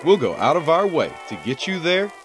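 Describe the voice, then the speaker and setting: A man's voice: on the high side, big pitch swings, brisk. A man playing a showy old-time announcer in a vintage radio commercial, with a slick, salesy delivery. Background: loud music.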